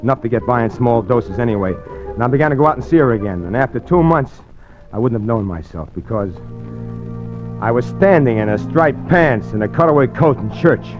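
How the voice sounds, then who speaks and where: A man's voice: medium pitch, animated, brisk, natural voice. Slangy young male hustler, played by a man, uneducated tough-guy narrator for vintage radio noir; muffled recording with some music. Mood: brooding. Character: rough, uneducated young male hustler telling his crime story. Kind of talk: 1940s radio acting and first-person narration.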